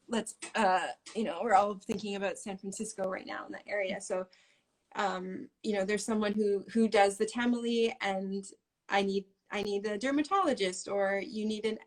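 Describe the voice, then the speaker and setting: A woman guest in a casual interview, talking in a rambling way with frequent laughs. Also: medium pitch. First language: English. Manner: rambling, many fillers and restarts, laughs often.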